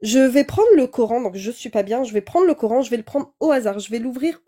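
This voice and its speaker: Hesitant young woman full of pauses. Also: on the high side, dark tone. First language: French. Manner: hesitant, many fillers and restarts. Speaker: caller. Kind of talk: live online debate.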